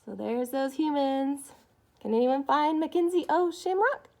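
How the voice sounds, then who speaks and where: High-pitched woman teacher talking to children. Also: expressive, a little slow, darkish tone. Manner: child-directed, chatty, asking questions. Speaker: schoolteacher. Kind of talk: read-aloud video for young pupils.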